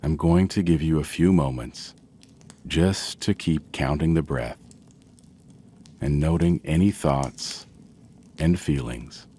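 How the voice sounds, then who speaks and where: Very deep-voiced man, slow sleep meditation. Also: slight background noise. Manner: gentle, soothing, measured with long pauses. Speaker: guided meditation narrator. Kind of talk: slow guided sleep meditation, scripted narration.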